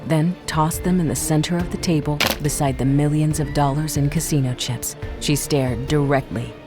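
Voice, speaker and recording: A woman's voice: deep voice, steady tone, normal speed, over music. A woman giving scripted, dramatic narration for an audio drama.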